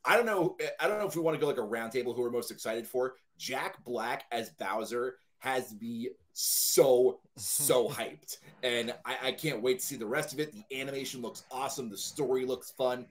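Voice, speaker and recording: A man's voice: mid-range voice, normal speed, few pauses. A man talking casually and enthusiastically as a panelist on a podcast. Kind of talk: casual chat.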